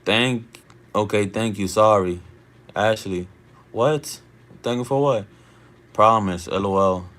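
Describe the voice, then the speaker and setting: A man's voice: lowish voice, slow, long pauses, fairly bright tone. A man of Dominican family, born in the US, chatting casually on a late-night live stream. He reads out his chat in a slangy, laid-back way and keeps asking "you feel me?".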